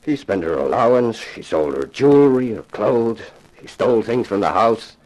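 A man's voice: on the low side, lively intonation, darkish tone, rough recording. An actor playing a grave, formal, wealthy father hiring a detective in a 1950s radio drama. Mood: bitter, sorrowful. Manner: formal, measured, solemn phrasing.

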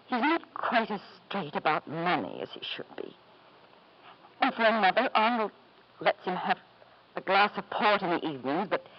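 An actress playing a genteel, anxious wife and devoted mother in a 1950s radio drama, refined and hesitant. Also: mid-range voice. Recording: muffled, noisy 1950s radio broadcast.

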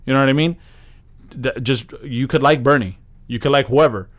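A man's voice: medium pitch, normal speed. A man co-hosting a podcast in casual chat, emphatic and profane, often agreeing with his partner. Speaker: podcast co-host.

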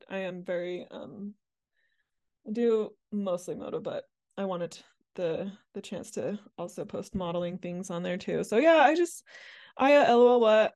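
A woman's voice: medium pitch, a little mellow, clean tone, dry recording, studio quality. A young woman from the Netherlands who grew up in Mississippi, chatting as an interview guest in a light, playful way with many fillers. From Netherlands, raised in Mississippi. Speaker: interview guest, motorcycle rider. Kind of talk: casual interview chat.

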